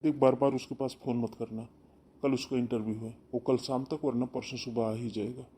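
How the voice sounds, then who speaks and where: An impatient young husband snaps back in a mellow, dark voice, voiced by a man. His speech is brusque and irritated, straight out of a cartoon family story. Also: mid-range voice, level tone, a little fast, a little hiss or hum, natural voice. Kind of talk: cartoon voice acting.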